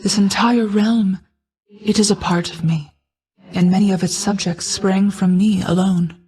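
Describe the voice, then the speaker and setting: An ancient night goddess for a video game, solemn n regal, formal measured speech, stern but motherly, mid pitch. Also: fairly even intonation, mellow, slightly rough. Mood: serene, gracious.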